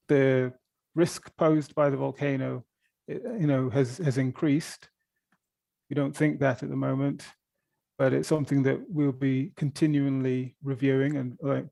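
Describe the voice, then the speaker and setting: A man's voice: medium pitch, creaky, mellow, no room sound. A man speaking as an expert at a press conference, explaining things off the cuff in a hesitant way with repeated words. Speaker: volcano observatory director answering press questions. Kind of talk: spontaneous press conference answers.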